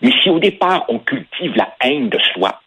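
A man's voice: medium pitch, very animated, normal speed, fairly bright tone, slight background noise, low-quality recording. A man whose first language is Spanish, speaking Quebec French as a passionate radio commentator who repeats words while building his points. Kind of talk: opinion talk on radio.

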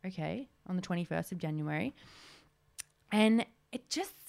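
A woman's voice: on the low side, animated, normal speed, gravelly, a little mellow. A woman in her twenties hosting a solo podcast, talking in a chatty, rambling way with lots of "like". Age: 20s. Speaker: podcast host.

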